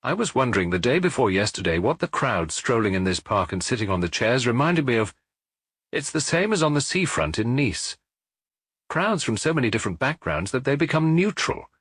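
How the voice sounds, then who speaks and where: A man's voice: medium pitch, very animated, a little fast, fairly bright tone, breathy edge, no echo, pitched above the performer's own voice. A man voicing a middle-aged French detective who muses aloud and jokes dryly, in an audiobook. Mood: musing. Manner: musing, dryly joking.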